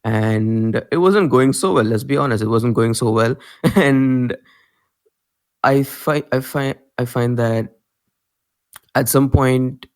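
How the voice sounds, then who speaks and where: A young man with an Indian accent, a musician guest talking casually in an interview, relaxed and quick to laugh. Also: medium pitch, clear, resonant tone. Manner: relaxed, laughs easily, loose filler-heavy phrasing.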